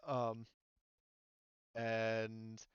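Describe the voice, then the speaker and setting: A man with a smooth, clear voice acts as game master for a tabletop game. He talks informally and casually, and the recording is very dry and a little dull. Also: fairly low pitch, monotone, drawn-out words, no echo. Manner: informal, sweary. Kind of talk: running a tabletop role-playing game, casual explanation.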